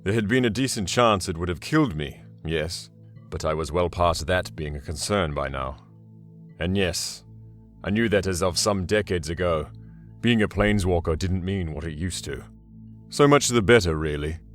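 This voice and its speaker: A man playing an ancient, arrogant demon warlord in an audio drama, measured and deliberate with dry, sardonic calculation. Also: sits low, animated, faint music behind. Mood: reflective, proud. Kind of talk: first-person audio drama monologue.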